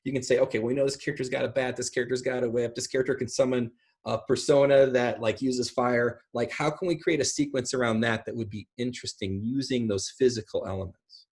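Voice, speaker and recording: A middle-aged man with a slightly rough, husky voice answers questions on a video call from home. He explains things informally, rambling from one example to the next. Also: medium pitch, steady tone, fairly quick. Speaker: guest speaker. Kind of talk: casual explanatory Q&A talk. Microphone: video call microphone.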